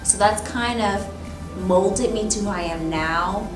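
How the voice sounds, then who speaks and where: A woman in her thirties, a teacher, reflecting thoughtfully in an oral history interview, often pausing with "um" and "you know". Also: mid-range voice. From Michigan, raised in Ohio. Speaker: teacher and instructional coach. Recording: roomy, distant mic with music behind.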